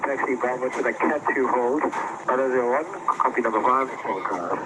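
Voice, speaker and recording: A man's voice: mid-range voice, a little fast. A man speaking clipped air traffic phraseology over a radio link. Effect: radio transmission. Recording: very noisy aviation radio audio.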